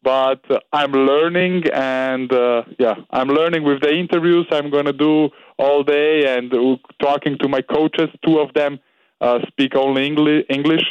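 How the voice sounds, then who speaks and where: A young man with an Austrian German accent, a fighter being interviewed, speaking halting English with many "you know"s. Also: a little high, steady tone, clean tone, no room sound, lo-fi sound. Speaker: guest fighter. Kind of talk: interview over a call.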